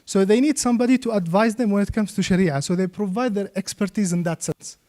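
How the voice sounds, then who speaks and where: Male seminar trainer, high-set voice, soft mellow tone, explanatory, frequently checks that listeners follow. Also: fast. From Yemen. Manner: checks understanding with frequent "okay?" and "right?". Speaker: trainer and Islamic finance expert.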